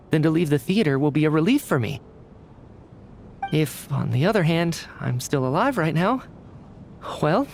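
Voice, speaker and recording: An actor playing a calm, rational young male scientist with amnesia, speaking in a composed, reasoned, reassuring way in a video game. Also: a little high, vocal fry.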